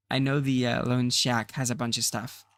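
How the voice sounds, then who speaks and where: A man streaming a survival game, thinking aloud in casual commentary, his voice a bit rough and tired. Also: medium pitch, no echo. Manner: rambling, thinking aloud with fillers. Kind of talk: casual let's-play commentary.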